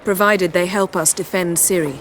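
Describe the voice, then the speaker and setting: A woman's voice: fairly low pitch, fairly even intonation, moderate pace, slight background noise, close to an everyday voice. A woman playing a self-assured, shrewd sorceress in a fantasy video game, speaking coolly and curtly. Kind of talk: cinematic fantasy game acting.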